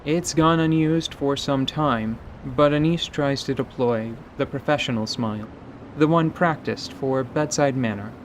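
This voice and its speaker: A man's voice: medium pitch, unhurried, a little hiss or hum, rough recording. A man narrating a scripted fantasy story as a radio theatre narrator. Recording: steady rain ambience behind voice. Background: rain ambience.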